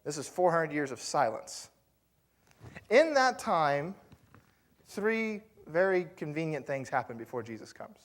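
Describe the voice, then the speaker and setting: A man's voice: on the high side, sing-song, unhurried, a bit bright. A man preaching a sermon as pastor, telling the story in a loose, conversational way with fillers and asides to the congregation.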